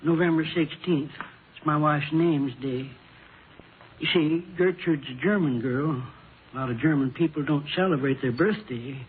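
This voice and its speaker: An actor playing a grieving, bewildered widower past sixty-five, polite and halting, in a vintage radio drama. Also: male voice, a little high, lightly acted. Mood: polite, subdued. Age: over sixty-five. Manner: halting, polite, plain folksy speech. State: shaken with grief. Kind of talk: 1950s radio drama acting. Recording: tinny, noisy vintage radio recording.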